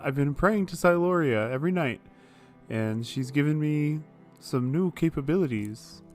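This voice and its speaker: A man's voice: a little high, moderate pace, faint music behind. A man chatting and bantering casually as a player in a tabletop roleplaying game.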